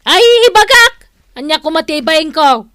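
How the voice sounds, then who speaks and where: A woman's voice: high-pitched, very animated, moderate pace, bright, forceful tone, no room sound, clean studio sound, exaggerated voice. A woman playing a nagging old mother and wife in a radio drama, complaining in an Ilocano accent. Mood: indignant. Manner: scolding, complaining.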